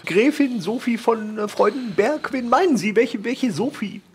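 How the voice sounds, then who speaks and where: A man playing a frail, haughty old count in an improvised audio drama, halting and pompous. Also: very high voice, expressive, slightly rough, exaggerated voice. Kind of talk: improvised tabletop role-play audio drama.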